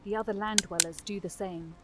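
A woman's voice: medium pitch, fairly even intonation, a little fast. Female narrator, fantasy audio drama, mid-range voice, slight background noise.

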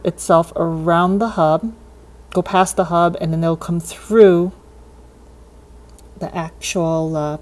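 A woman's voice: on the low side, darkish tone. A woman giving a casual, unscripted hands-on demonstration, thinking aloud with a hesitant delivery. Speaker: hobbyist demonstrating cassette tape repair. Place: indoors at a desk.

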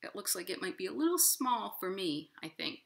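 A woman hosting storytime, speaking in simple, clear phrases to young children. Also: medium pitch, very animated, a little fast, fairly bright tone. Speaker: children's library storytime host. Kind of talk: storytime for young children, talking and reading aloud. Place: living room at home, indoors.

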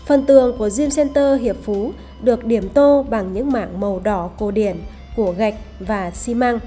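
A woman's voice: fairly high pitch, moderate pace, dark tone. A woman reading a scripted promotional voice-over as a narrator. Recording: voice over background music. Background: music.

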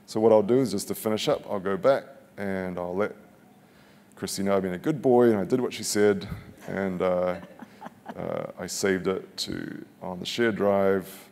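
Relaxed man demoing on a conference stage. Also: low-pitched, vocal fry. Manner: casual, joking, halting with many restarts. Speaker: product manager giving a keynote demo. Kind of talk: live keynote product demo. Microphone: headset mic. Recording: long reverb of a big hall.

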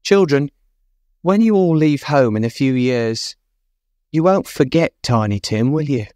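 A man voicing a gentle, kindly poor clerk in a storybook reading. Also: mid-range voice, animated, measured pace, no room sound, studio quality, pitched above the performer's own voice, lightly acted.

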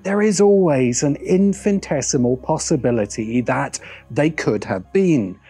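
A middle-aged man narrating a scripted video essay in a measured way, with deliberate emphatic pauses. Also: fairly high pitch, lively intonation, warm tone, breathy edge, faint music behind.

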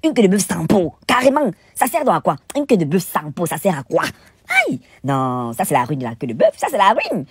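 A man's voice: high-pitched, wildly swooping pitch, fairly quick, husky, no echo, studio quality. A man with a Cameroonian accent telling stories in a casual, chatty vlog voice-over, theatrical and exclamatory, with playful indignation. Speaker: cooking vlogger and narrator.

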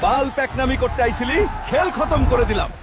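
A man's voice: extremely high pitch, a little fast, a loud crowd. A man voicing a boastful, hot-headed village Hulk in a comic cartoon, with colloquial Bangladeshi speech. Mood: threatening. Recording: loud music over the voice.

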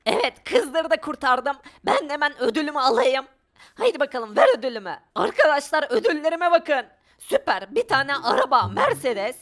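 Çok tiz, hareketli sesli kadın oyuncu. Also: female voice, high voice, moderate pace. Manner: animated, exclaiming, thinks aloud. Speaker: gaming video creator. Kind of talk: excited gameplay commentary for viewers.

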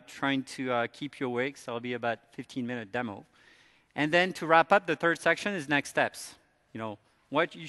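A man's voice: medium pitch, fairly bright tone, big-room sound. A man from France with an American accent, giving a conference presentation in a casual, conversational way. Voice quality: fillers and restarts, conversational. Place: large conference hall.

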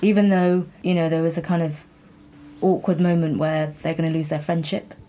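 A woman's voice: low-pitched, flat delivery, moderate pace, warm tone. A woman interviewer talking conversationally, candid and reflective as she asks questions and shares her own thoughts.

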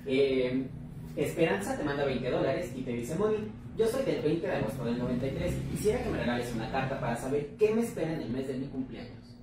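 A co-host reading out viewer messages at a quick clip. The voice sits well back from the mic in a very echoey room, with clear background noise. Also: medium pitch, lively intonation, distant mic. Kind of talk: reading questions aloud.

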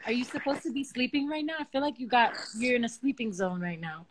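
A woman in her twenties talks casually to viewers from her bedroom at night, her phone held close. Her voice sits slightly high, and she is bubbly and affectionate, often breaking into giggles. It feels loose and unscripted. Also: expressive, fast talker. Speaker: livestream host. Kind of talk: casual livestream chat.

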